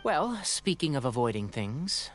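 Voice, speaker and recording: Astute, lively young ranger. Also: male voice, mid-range voice, unhurried, soft background music. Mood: wry. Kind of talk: video game voice acting.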